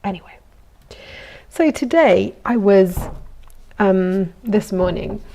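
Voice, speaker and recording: Chatty goldsmith woman with gravelly undertone. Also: medium pitch, animated, slow pace, mellow. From Italy. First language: Italian. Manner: chatty, self-deprecating, with frequent ums and small laughs. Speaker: goldsmith streaming from her workshop. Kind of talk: casual live-stream chat while working.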